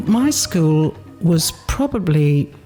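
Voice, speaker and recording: A woman in her seventies from South Africa, reminiscing in an interview, telling her story in a reflective, measured way with pauses. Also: low-pitched, very animated, music in the background. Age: 70s.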